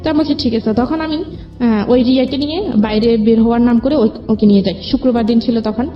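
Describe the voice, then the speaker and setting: A woman's voice: fairly high pitch, fast. A woman with a Bangladeshi accent telling her own life story as an interview guest. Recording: dull lo-fi audio, music underneath. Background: background music.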